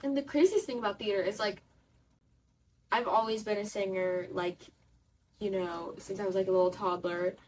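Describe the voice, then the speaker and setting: A woman's voice: mid-range voice, steady tone, normal speed, long pauses, a little mellow. A teenage girl theater student and singer, chatting eagerly with friends. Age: teens. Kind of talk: casual chat. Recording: distant mic, faint room noise.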